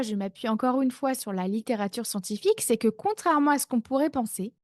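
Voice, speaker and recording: A woman's voice: a little high, a little mellow, no echo, studio quality. A woman in her thirties hosting her own coaching podcast, chatting casually with fillers and self-mocking asides. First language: not English. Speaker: life coach, podcast host.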